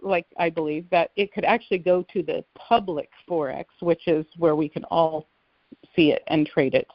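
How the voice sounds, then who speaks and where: Female caller, lowish pitch, earnest explainer, conversational, on a dry, slightly muffled conference line. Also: fairly even intonation, moderate pace, dry recording. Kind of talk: casual explanatory call-in talk. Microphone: telephone conference line.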